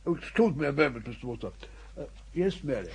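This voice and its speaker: An actor playing a courtly, earnest older upper-class English uncle, pompous and effusively formal, in a radio comedy. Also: male voice, a little high, animated, quick pace, gravelly, noisy background. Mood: gratified, courteous. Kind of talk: radio comedy drama acting.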